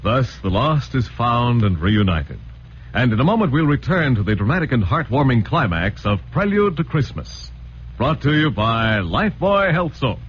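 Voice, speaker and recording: Lively American old-time radio announcer, male. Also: mid-range voice, normal speed. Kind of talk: 1940s radio announcing and sponsor pitch. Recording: muffled old radio recording, faint noise.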